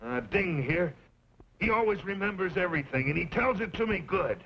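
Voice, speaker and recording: An actor playing a garrulous old rancher father in a western radio drama, folksy and rambling with quiet menace. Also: high-pitched, expressive. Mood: nosy, folksy. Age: older. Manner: folksy, rambling, drawn-out emphasis. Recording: muffled, noisy old radio recording.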